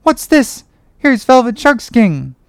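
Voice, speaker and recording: A woman voicing a crazed, pitiful young ship's boy in a dramatic audiobook, excitable, chanting and pleading. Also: a little high, slow, clean tone, no room sound, studio quality, heavily acted. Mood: tender, pleading. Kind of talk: dramatic audiobook character reading.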